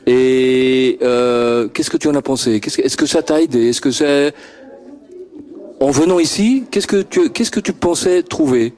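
A man's voice: mid-range voice, unhurried, a little mellow. Stammering man interviewing kids. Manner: stammering, repeats words, chuckles often. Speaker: interviewer at a homework-help group. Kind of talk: friendly questioning of children. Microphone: handheld microphone passed around.

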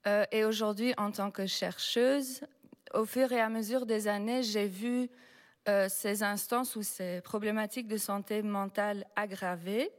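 A woman who is not a native French speaker, a researcher speaking as a panel guest, explaining at a measured pace with frequent hesitations. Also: medium pitch, steady tone. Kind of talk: public panel talk. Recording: long hall reverb.

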